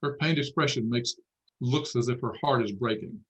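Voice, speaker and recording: A man's voice: medium pitch, normal speed, rough recording. A man narrating stage directions matter-of-factly at a screenplay table read.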